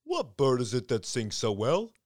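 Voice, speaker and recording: Woman putting on a loud, blustering storybook bear. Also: very deep voice, wildly swooping pitch, moderate pace, pitched below the performer's own voice, heavily acted. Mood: eager, curious. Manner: loud, imperious. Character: big blustering, rude, haughty male bear. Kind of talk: storybook character acting.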